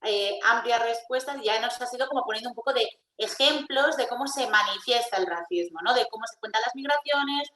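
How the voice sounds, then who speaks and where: Bright-voiced woman host, echoey room. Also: on the high side, brisk, hardly any pauses. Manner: brief encouraging interjections. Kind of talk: casual interview moderation.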